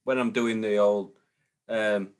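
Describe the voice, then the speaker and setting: A man's voice: on the low side, fairly even intonation, takes it slowly, smooth. Hesitant man, guest chat. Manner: hesitant, lots of ums and repeated starts. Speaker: guest, booking agent. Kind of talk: casual interview chat.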